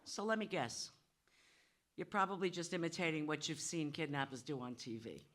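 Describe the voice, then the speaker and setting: A woman's voice: deep voice, normal speed, a bit bright, slight background noise. An actress playing an exasperated, sarcastic New York woman who works in banking, lecturing in a live audio play. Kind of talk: live stage audio drama.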